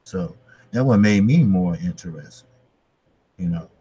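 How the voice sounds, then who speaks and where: A man's voice: low-pitched, expressive, unhurried, husky, lo-fi sound. A man in his fifties, a guest in a casual interview, speaking hesitantly with many restarts. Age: 50s. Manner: hesitant, many fillers and restarts, laughs softly. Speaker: guest, boxing channel creator.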